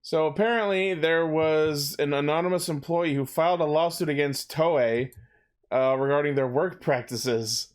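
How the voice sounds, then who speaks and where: A man's voice: fairly high pitch, normal speed. Casual man podcasting with friends. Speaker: podcast co-host. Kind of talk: casual chat about fan news.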